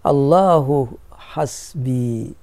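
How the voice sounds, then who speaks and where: Mellow-voiced man teaching grammar. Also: medium pitch, extremely slow, no room sound. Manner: patient, repeats key phrases, asks students if they follow. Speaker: sheikh teaching Arabic grammar. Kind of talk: classroom explanation of a grammar poem.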